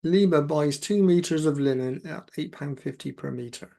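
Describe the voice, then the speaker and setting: A man with a croaky voice, working through exam maths questions aloud step by step as a tutor. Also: fairly high pitch, normal speed. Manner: steady step-by-step, talks himself through sums.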